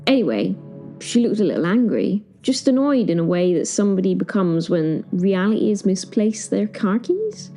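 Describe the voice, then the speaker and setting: Woman as Eleanor Rigby, wry narrator with lively intonation. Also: mid-range voice, normal speed, soft background music. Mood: flustered, wry. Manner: wry, understated, digressive. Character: Eleanor Rigby, flustered, dry-witted woman, reluctant caretaker of Hell. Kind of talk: first-person audio drama narration.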